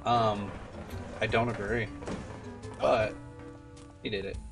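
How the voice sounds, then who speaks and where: A man's voice: on the low side, little pitch movement, slow, gravelly. A young man, a game streamer, giving casual speedrun commentary. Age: young adult. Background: game music.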